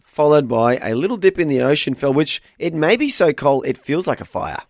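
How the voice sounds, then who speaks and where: A man's voice: on the high side, big pitch swings, fairly quick, no echo. A man with an Australian accent chatting casually and playfully as a breakfast radio co-host. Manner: playful, joking. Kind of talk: casual banter.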